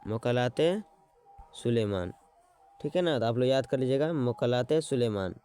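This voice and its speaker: Man teaching slowly, smooth clear voice. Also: medium pitch, expressive, warm tone. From Bihar. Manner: repeats words slowly while writing, checks in with "है ना". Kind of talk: exam-prep lesson.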